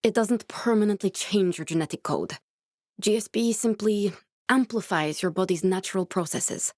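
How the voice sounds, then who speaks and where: Game role: guarded, earnest woman scientist played by an actress, medium pitch, naturalistic measured delivery, studio-dry sound. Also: darkish tone, dry recording, lightly acted. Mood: focused, intent. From born in Norway, raised in Glasgow (character). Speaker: video game character voice. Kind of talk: naturalistic video game dialogue acting.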